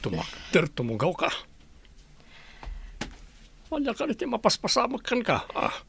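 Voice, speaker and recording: A man playing a grumbling older husband and head of a household in a radio drama, with a gravelly, raspy voice. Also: high-pitched, wildly swooping pitch, unhurried, a bit bright, faint music behind, full character voice. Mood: suspicious. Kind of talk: Ilocano radio drama acting.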